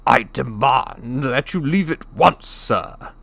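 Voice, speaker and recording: A man voicing a blustering, indignant, frightened middle-aged uncle in a storybook, speaking in a formal, demanding, sharp manner. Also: mid-range voice, lively intonation, takes it slowly, creaky, voice pushed up, heavily acted.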